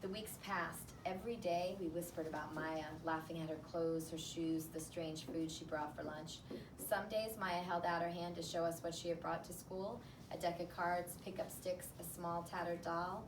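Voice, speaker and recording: A woman teacher reading a picture book aloud to a class, pausing to think out loud in a conversational way. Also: fairly low pitch, steady tone. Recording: roomy, distant mic, clear background noise.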